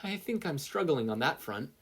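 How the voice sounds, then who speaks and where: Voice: medium pitch, big pitch swings, moderate pace, slightly rough, pitched above the performer's own voice. A narrator with an American accent voicing a kind, anxious, sincere teenage boy hero in a story reading. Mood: earnest. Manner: sincere, flustered.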